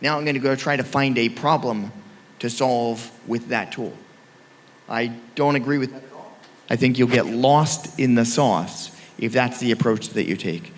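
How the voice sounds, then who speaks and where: A man on a conference panel, speaking emphatically and punching key phrases. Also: mid-range voice. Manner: emphatic, punchy, speeds up and slows down for stress. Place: conference hall. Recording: reverberant hall.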